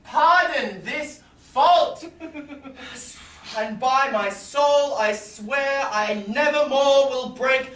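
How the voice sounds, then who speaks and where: A woman's voice: high voice, drawn-out words, fairly bright tone, exaggerated voice. A woman playing an earnest, contrite young husband in a Shakespeare staged reading, declaiming emphatically from a stage. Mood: pleading. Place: small theatre stage with audience. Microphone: far camera in the audience. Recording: roomy, distant, noisy theatre recording.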